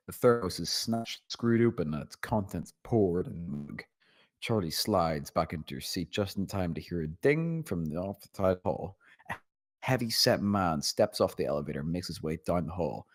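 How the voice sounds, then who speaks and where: A man's voice: deep voice, lively intonation, moderate pace. A man narrating a script's stage directions at a table read.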